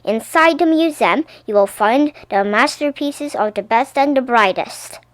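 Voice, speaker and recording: A woman voicing a helpful artist in a children's storybook, measured and instructive. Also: high-pitched, dry recording.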